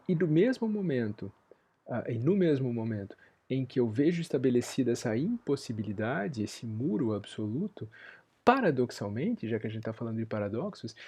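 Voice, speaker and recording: A man's voice: mid-range voice, dark tone, breathy edge. A man giving a university lecture, thoughtful and rephrasing his points as he goes.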